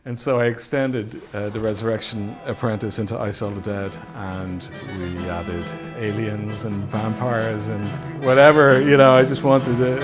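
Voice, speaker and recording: A man reminiscing in a documentary interview as a filmmaker, in a halting, thoughtful way with frequent pauses. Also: lowish voice. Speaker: film director and effects artist being interviewed. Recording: steady background noise.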